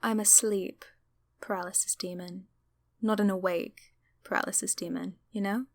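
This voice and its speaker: A woman playing a gentle, apologetic sleep paralysis demon in an ASMR roleplay, soft-spoken and often whispering reassurance. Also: mid-range voice, steady tone, normal speed, no echo, clean studio sound, lightly acted. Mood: wry, coaxing. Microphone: close microphone.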